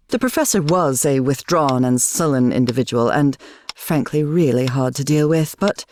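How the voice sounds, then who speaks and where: An actress playing a wry, knowing woman from beyond the grave who narrates a video game story in a measured way. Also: sits low, darkish tone, slight background noise. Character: dead wife of a professor, wry knowing female narrator.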